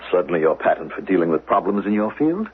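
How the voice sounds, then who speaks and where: Probing government official, formal and measured, low voice with lively swings, muffled old radio drama. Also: male voice, breathy edge, full character voice. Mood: coolly probing. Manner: measured, formal, pointed questioning. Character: probing, smooth-talking government official of a dystopian future. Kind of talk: 1970s radio drama acting.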